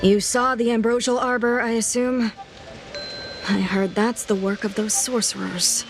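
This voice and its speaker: A woman's voice: fairly high pitch, steady tone, measured pace, over music. An actress playing a dutiful, polite female military guard in a video game, composed and official. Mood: composed, helpful.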